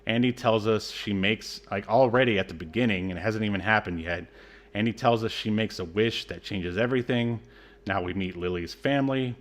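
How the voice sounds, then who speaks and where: Male podcaster, slightly deep voice, sarcastic and informal recap style. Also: brisk. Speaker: podcast co-host recapping a movie. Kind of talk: casual mocking movie recap.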